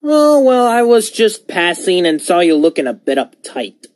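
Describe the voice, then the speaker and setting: A man voicing a chatty, scheming steam engine who insinuates things in an animated game. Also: sits high, moderate pace, dry recording. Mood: sly, gossipy. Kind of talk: fan animated game cutscene acting.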